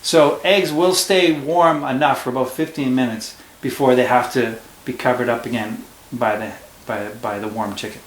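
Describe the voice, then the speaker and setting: A man's voice: medium pitch, fairly even intonation, moderate pace, roomy sound, low-quality recording. A man teaching at home, explaining a storybook lesson to a child patiently and step by step. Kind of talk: casual classroom discussion.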